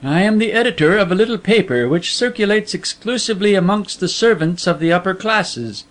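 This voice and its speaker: A man voicing a secretive, gruff middle-aged male editor in a storybook reading, speaking in a measured, confiding way. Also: high voice, lively intonation, voice pushed up.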